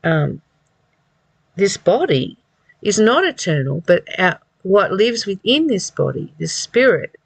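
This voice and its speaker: Slow, unhurried spiritual reader, close dry sound. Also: mid-range voice, sing-song, gravelly, slightly rough, dry recording. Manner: reflective, halting, repeating phrases. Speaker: reader and commentator of a spiritual study. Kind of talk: slow reading aloud with informal spiritual commentary.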